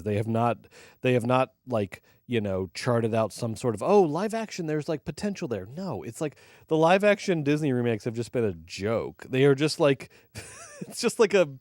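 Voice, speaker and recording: A young man co-hosting a movie podcast, talking with big swings in pitch and plenty of emphasis. He swears freely and leans hard into his opinions. The sound is close and dry. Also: darkish tone, no echo. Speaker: podcast co-host, film critic. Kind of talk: casual movie review chat.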